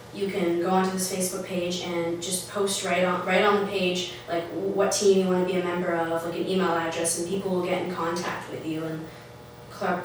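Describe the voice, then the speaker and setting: A young woman from Russia chats upbeat and easy, leaning on fillers as she explains her student club. Her voice sits a bit low and sounds distant, with strong room echo and a faint hiss behind. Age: young adult. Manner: chatty, filler-heavy, upbeat. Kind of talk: casual interview. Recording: echoey room, mic far off.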